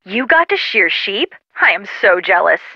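Wry tomboy with lively pitch, phone-filtered. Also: female voice, moderate pace, no echo. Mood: teasing. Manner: dry, teasing, casual. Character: wry, tomboyish, unsentimental young woman. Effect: telephone filter. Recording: muffled, lo-fi phone-call sound.

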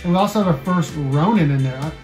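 A man's voice: fairly high pitch, quick pace, darkish tone, soft background music. An American man in his thirties hosting a casual video, running through items for sale in a room at home. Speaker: YouTube channel host. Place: small room at home, indoors.

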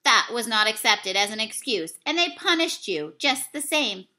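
A young woman, a teacher, reading a children's book aloud at home into a webcam, building suspense and pausing to correct slips. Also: a little high. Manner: expressive storytelling, swells and hushes for suspense; apologizes for slips and losing her place. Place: small room at home, plain wall behind. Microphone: webcam at desk distance.

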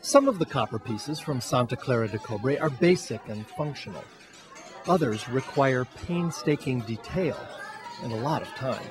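A man narrating a TV news feature as producer and reporter, in a measured scripted delivery. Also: mid-range voice, expressive, music in the background, a crowd in the background.

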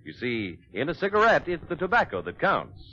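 Animated, punchy American male ad announcer. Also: a little high, a little fast. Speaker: radio commercial announcer. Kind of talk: 1940s scripted cigarette advertisement. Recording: dull vintage radio recording.

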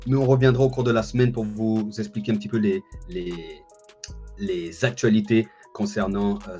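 A man's voice: on the low side, darkish tone. A man in his thirties hosting a casual interview, often repeating his phrases. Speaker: interviewer and host. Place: indoor room with bookshelf, video call. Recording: dull lo-fi online call, music behind. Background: music.

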